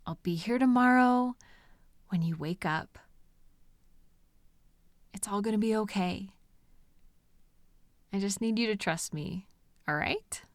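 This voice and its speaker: A woman playing an obsessive, deluded kidnapper in love, speaking gently and coaxingly to the listener in an intimate audio roleplay. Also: medium pitch, slow, long pauses, dry recording, lightly acted. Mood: soft, soothing. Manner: gentle, coaxing, chatty, unnervingly sweet. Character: obsessive, possessive female kidnapper, cheerfully deluded lover.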